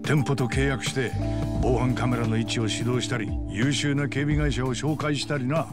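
An actor playing a gruff, businesslike middle-aged boss in a video game, talking bluntly in rough masculine speech. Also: male voice, medium pitch, steady tone, moderate pace, close to an everyday voice. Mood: businesslike, assured. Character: gruff, businesslike older male boss of a security firm. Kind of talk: Japanese video game voice acting. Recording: music playing behind the voice. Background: loud background music.

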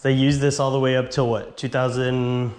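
A man's voice: mid-range voice, flat delivery, moderate pace, darkish tone, a little hiss or hum. A young man hosting an exploration vlog, chatting casually to viewers with lots of fillers while walking through an abandoned base. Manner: easygoing, filler-heavy, addresses viewers directly. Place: abandoned military base, outdoors and inside empty buildings.

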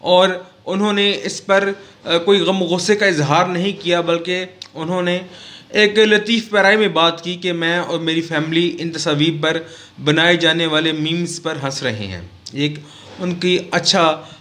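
A middle-aged man from Pakistan giving a formal current-affairs commentary vlog at a desk mic in a small studio, pausing often with "uh". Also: fairly high pitch, a bit bright. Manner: measured, formal, with frequent "uh" pauses. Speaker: vlogger and news commentator. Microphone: desk mic on a stand close to the mouth.

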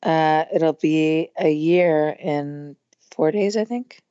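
Woman, hoarse voice, low pitch, rambling casual chat, dry dull recording. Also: slow pace, warm tone, dry recording. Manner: chatty, rambling with many fillers. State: losing her voice, hoarse. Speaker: podcast guest, musician. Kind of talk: casual conversation.

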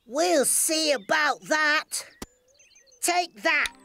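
A woman voicing a boastful, teasing little village boy in a children's animated series, with a taunting, childlike manner. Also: sits high, very slow, gravelly, faint music behind, full character voice. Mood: defiant.

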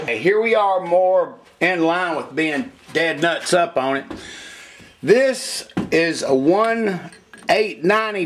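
A man's voice: fairly high pitch, slight background noise. A man talking casually as he demonstrates engine head porting at a workshop bench, in a plainspoken, folksy way. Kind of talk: hands-on shop demonstration.

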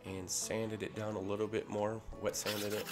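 A man with a head cold, casually talking through a workshop ring build in a relaxed way with fillers. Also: fairly low pitch, moderate pace. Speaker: maker showing a ring build. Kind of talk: casual workshop tutorial voice-over. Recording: voice-over with clear music behind.

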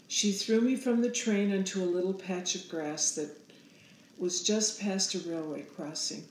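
A woman in her sixties narrating a storybook aloud in a measured, solemn manner. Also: mid-range voice, darkish tone, echoey room, slight background noise, rough recording. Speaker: author reading own picture book aloud.

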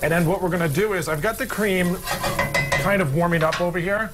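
Fast-talking chef cooking on a TV kitchen set. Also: male voice, high voice, heavy background noise. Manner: upbeat, chatty, explains step by step. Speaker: guest chef. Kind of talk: cooking demo on morning TV.

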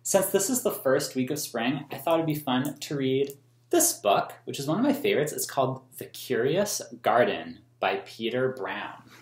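An American man in his thirties reading a picture book aloud to children in a gentle, explanatory way. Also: medium pitch, sing-song, moderate pace. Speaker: museum story time host. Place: small plain room indoors. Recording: roomy indoor echo.

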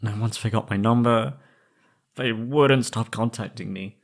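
Man acting a slow, hesitant, conflicted young man. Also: lowish voice. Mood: weary, frustrated. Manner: hesitant, halting, sighing. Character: conflicted young man, former kidnap victim, anxious and wistful. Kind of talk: scripted roleplay audio drama, ASMR.

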